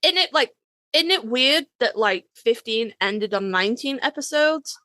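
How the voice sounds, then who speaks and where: Higher-voiced, playful woman podcast co-host. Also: animated, a little slow, bright, forceful tone, dry recording, studio quality. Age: 30s. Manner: playful, exclamatory. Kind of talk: casual banter.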